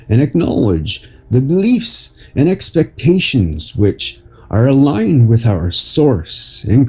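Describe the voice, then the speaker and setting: Male narrator, very husky and breathy, for unhurried inspirational reading; pitch moves freely, light music underneath. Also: warm tone. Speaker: podcast host and article reader. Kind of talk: slow read narration of an inspirational article.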